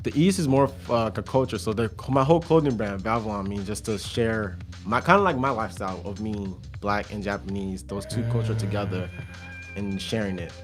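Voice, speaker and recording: A man's voice: fairly low pitch, a little fast, faint music behind. A young man guesting on a radio show, talking casually about his clothing brand with lots of fillers and restarts. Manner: laid-back, rambling with many fillers. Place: radio studio.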